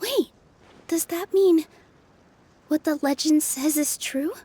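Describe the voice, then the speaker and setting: Gentle, very high-voiced young forest fairy. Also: female voice, takes it slowly. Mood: thoughtful, surprised. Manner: gentle, earnest, thoughtful. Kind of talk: video game voice acting.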